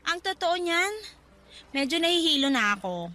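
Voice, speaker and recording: A woman's voice: very high-pitched, very animated, moderate pace, pushed and bright, full character voice. An actress playing a practical, exasperated young Filipino woman scolding her cousin in a radio drama, with a colloquial Filipino accent. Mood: playful, practical.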